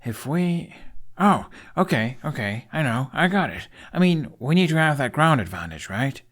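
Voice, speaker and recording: A male narrator puts on an eager teenage Viking boy, pitched well up and full of nervy energy. He thinks aloud in animated, halting bursts, stubborn and scheming, in storybook style. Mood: nervous planning.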